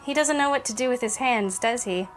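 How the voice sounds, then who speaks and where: A woman's voice: on the high side, brisk, faint music behind, natural voice. A woman playing a reluctant, sardonic grown daughter in an audio drama, talking in a dry, grudging way. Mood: amused, dry. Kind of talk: naturalistic audio drama acting.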